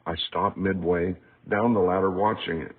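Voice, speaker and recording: A man's voice: low-pitched, steady tone, normal speed, husky. A man reading aloud from his own book in a scripted audiobook narration style, recorded with a tinny, narrow sound. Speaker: author reading a book excerpt. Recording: tinny, phone-like narrow band.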